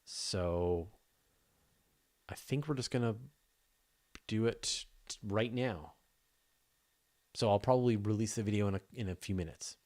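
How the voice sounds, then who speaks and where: A man's voice: on the low side, measured pace, pauses often, a little mellow. A man hosting a live astronomy question show, chatting casually and off the cuff with informal enthusiasm.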